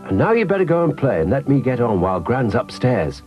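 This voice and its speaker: A man's voice: mid-range voice, very animated, a little fast, a little mellow, muffled recording, faint music behind, pitched above the performer's own voice, natural voice. A man voicing a kindly, busy mother in a children's story, confiding and a little hesitant.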